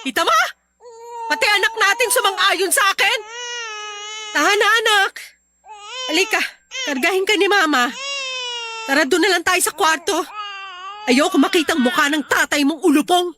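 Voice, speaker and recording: A woman's voice: very high-pitched, normal speed, pauses often, noisy background. A woman playing a fed-up, pregnant young Filipino wife and mother in a radio drama, scolding in an exasperated, melodramatic way. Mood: stressed, bitter.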